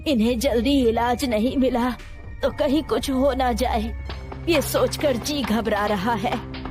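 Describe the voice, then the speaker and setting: Older village mother voiced by a woman, anxious, slow and high, for a storybook horror tale. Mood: worried. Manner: slow, worried pleading. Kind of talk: storybook voice acting. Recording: faint music underneath.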